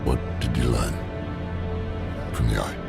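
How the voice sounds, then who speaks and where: An older male actor playing a weary, blunt, loyal old warrior in a fantasy game, gruff and gravelly. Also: little pitch movement, unhurried, full character voice. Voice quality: very deep, gravelly. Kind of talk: cinematic fantasy game acting. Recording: loud scary music behind.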